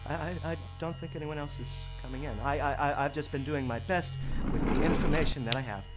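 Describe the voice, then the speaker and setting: An actor playing a timid, overworked power operator in an audio drama, stammering, nervous and apologetic. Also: male voice, a little high, brisk, over loud music, close to an everyday voice, low-quality recording. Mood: anxious, apologetic. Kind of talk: theatrical audio drama acting.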